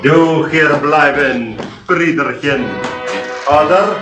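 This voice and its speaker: An actor playing a Russian guard with a Russian accent, speaking broken German in terse phrases in a 1960s radio crime drama. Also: male voice, on the high side, slow pace. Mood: stern. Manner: broken German, clipped commands. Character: stern, terse Russian guard, later apologetic. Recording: echoey old radio tape, music behind.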